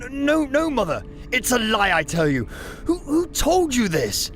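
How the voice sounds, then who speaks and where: A man's voice: very high-pitched, sing-song, measured pace, fairly bright tone, heavily acted. A man playing a scheming, panicky young wolf heir, whiny, blustering and stammering, in a sci-fi audio drama. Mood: indignant panic.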